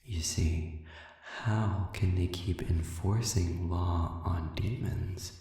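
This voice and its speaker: Man playing a very slow, low-voiced suave demon. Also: fairly even intonation, mellow. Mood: taunting, calculating. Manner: measured, deliberate, condescending, formal wording with lingering pauses. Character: suave, sadistic, manipulative male demon prisoner. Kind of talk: audio roleplay, slow intimate monologue addressed to listener. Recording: echoey hall-like reverb, distant.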